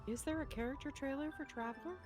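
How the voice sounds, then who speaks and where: A woman's voice: high-pitched, quick pace. A woman co-hosting a reaction show, chatting in a gushing, informal way. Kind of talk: casual chat, reacting and ranking. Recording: dry close voice, music behind.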